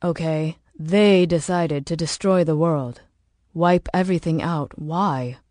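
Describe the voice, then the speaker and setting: Lady reading as troubled guy, soft dark tone, doubting, audiobook dialogue, very dry sound. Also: sits low, slow pace, no room sound. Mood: disbelieving. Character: troubled man, questioning and disbelieving.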